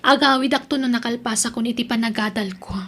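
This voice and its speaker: Casting: actress as young female student and girlfriend, high voice, audio drama. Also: fairly even intonation, fast talker, a bit bright. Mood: sad. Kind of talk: Ilocano audio drama acting.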